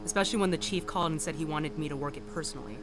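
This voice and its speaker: A woman's voice: low voice, racing, close to an everyday voice. A woman playing a polite, independent-minded private detective in an audio drama, speaking conversationally. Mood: casual, wry. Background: music.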